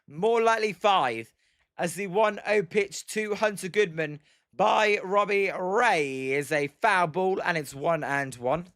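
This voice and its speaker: A young British man doing casual play-by-play of a baseball game on a live stream, answering chat, his voice a little croaky and full of "um"s. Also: sits high, takes it slowly, fairly bright tone, dry recording, studio quality. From Britain. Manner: rambling, many "um"s and "as" fillers. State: slightly croaky from daily streaming.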